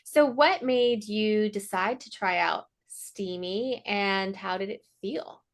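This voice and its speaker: A woman hosts a book podcast, asking considered questions with short encouraging replies. Her voice sits in a middle pitch. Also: slow. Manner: attentive, brief affirmations, thoughtful questions. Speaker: podcast host. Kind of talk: interview questions.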